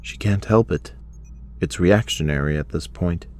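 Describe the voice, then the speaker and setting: A man's voice: low voice, measured pace, a little mellow. A man reading scripted dramatic narration as the narrator of an audio drama.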